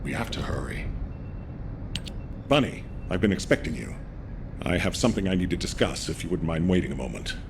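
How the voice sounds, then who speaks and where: A man playing a calm, authoritative military commander in a video game cutscene, measured and formal but quietly caring. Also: low voice, background noise. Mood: composed, courteous.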